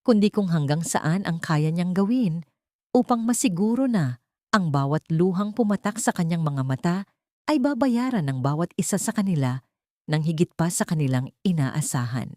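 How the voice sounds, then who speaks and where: Lowish, expressive woman narrating drama. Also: normal speed, no echo, studio quality. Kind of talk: dramatic scripted story narration.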